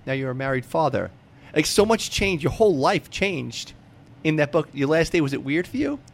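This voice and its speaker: A man's voice: a little high, very animated, moderate pace, vocal fry. A man hosting a casual, chatty interview, informal and slangy, circling back to rephrase his questions. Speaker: interviewer, podcast host.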